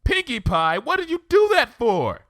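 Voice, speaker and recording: Man putting on a prim, high-pitched girlish unicorn. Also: huge pitch swings, moderate pace, fairly bright tone, dry recording, studio quality, exaggerated voice. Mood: exasperated. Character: young female unicorn, bookish, indignant pony, cartoon.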